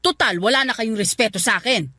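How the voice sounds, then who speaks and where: A woman's voice: fairly high pitch, fast talker, sharp tone, dry recording, studio quality. A woman playing a bitter, stern middle-aged Filipino mother who scolds sharply in a radio drama. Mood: angry, scolding.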